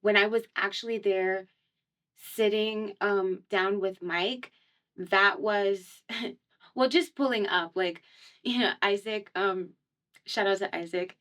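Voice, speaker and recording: A woman's voice: mid-range voice, fairly even intonation, takes it slowly, a bit bright. A young woman from Miami, a singer guest on a radio show, talking in a chatty, casual way with lots of "um" and "like" and frequent laughter. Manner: chatty, laughs often, many fillers like "um" and "like". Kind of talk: casual conversational interview. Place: radio studio.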